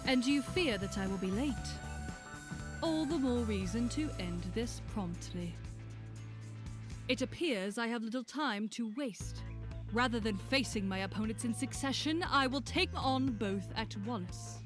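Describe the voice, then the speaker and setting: A woman plays a young male house leader with a high, clipped voice. He is pompous and commanding, and speaks in formal, scornful sentences as if from a throne. Dramatic music runs underneath. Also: expressive, unhurried. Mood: haughty, scornful. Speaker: voice actor in a fan dub. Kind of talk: dramatic game dub acting.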